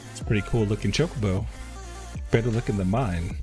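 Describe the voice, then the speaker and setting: A man with a fairly low voice chats casually as he streams a game. He speaks in short, offhand remarks, and music plays behind him. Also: a little fast. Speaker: live streamer playing an online game.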